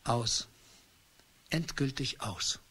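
An actor playing a bitter middle-aged husband who speaks in a cold, deliberate and accusing way in a radio play. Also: male voice, medium pitch, pushed and bright, a little hiss or hum. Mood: coldly accusing. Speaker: husband Charles.

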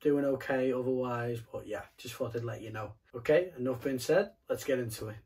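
Soft-spoken young man with a sore throat. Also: medium pitch, a little fast. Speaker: toy customizer host. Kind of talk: casual tutorial narration.

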